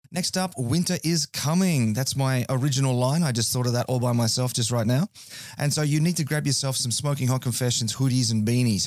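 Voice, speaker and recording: A man hosting a barbecue podcast, a little hoarse from a cold, running through announcements in a chatty, upbeat way with self-mocking jokes. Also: mid-range voice, a little mellow, dry recording, clean studio sound. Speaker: podcast host. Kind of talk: podcast intro and sponsor announcements.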